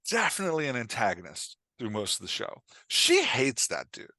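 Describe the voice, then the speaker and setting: Bright-voiced man explaining casually, close and dry. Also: medium pitch, wildly swooping pitch, moderate pace, dry recording. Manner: informal, emphatic, illustrates points with quoted examples. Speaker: guest author and dialogue coach. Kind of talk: conversational explanation on a writing podcast.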